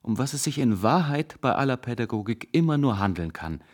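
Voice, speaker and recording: An actor playing a severe, dogmatic intellectual zealot, a professor who lectures in cutting absolutes, in a radio drama. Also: male voice, medium pitch, sing-song, a little fast. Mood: fanatical, insistent. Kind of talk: German radio drama acting.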